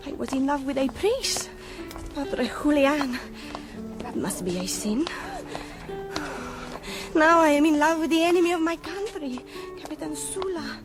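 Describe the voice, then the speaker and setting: An actress playing an earnest, naive young Spanish woman in a radio drama, devout and a little breathless. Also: high voice. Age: young adult.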